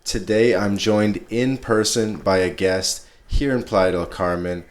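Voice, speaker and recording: A man's voice: fairly low pitch, measured pace. A man hosting a podcast in a casual, laid-back chat, talking in slangy everyday English. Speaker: podcast host.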